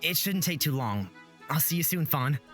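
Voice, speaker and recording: Earnest, apologetic young male hero for a fantasy visual novel, acted by a man, animated delivery. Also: fairly high pitch, moderate pace, faint music behind. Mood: concerned.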